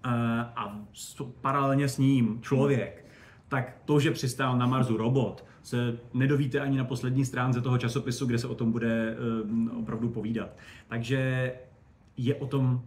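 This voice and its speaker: A man's voice: medium pitch, little pitch movement, a little mellow. A man giving conversational, enthusiastic and discursive answers as a guest expert on spaceflight.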